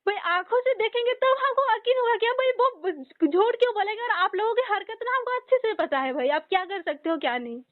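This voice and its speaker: A woman's voice: extremely high pitch, expressive, brisk, few pauses. A woman arguing insistently on a phone call, often cutting in. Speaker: caller. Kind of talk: heated phone argument. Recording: tinny phone line.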